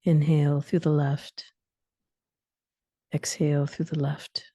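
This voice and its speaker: Slow, low-pitched woman guiding sleep meditation. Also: little pitch movement, warm tone, dry recording, clean studio sound. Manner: soothing, instructional, short phrases with long pauses. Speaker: yoga and meditation guide.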